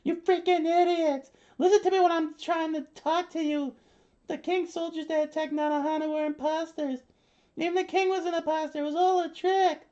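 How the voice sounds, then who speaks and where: A man puts on a battered young boy from an adventure manga, very high and strained. The kid is fed up and pleading to be heard, scolding everyone at a shout. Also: flat delivery, moderate pace, muffled recording. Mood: exasperated pleading.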